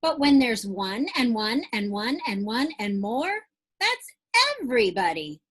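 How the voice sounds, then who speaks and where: A woman's voice: on the high side, big pitch swings, unhurried. A woman teacher reading a picture book aloud to young children, stretching out phrases expressively and putting questions straight to her listeners.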